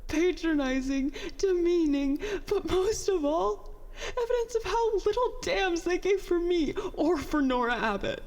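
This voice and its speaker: An actress playing a bitter, self-doubting young petty officer in a halting, confessional monologue for a science fiction audio drama. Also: female voice, very high voice, animated, a little mellow, big-room sound. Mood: anguished, tearful anger. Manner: halting, conversational, self-deprecating, mildly profane.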